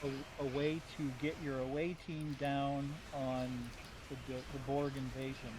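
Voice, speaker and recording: Man chatting casually over clear background noise. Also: mid-range voice, flat delivery, measured pace. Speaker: gamer on a group gaming podcast. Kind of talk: casual group chat. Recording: noisy voice-chat with clear background hum.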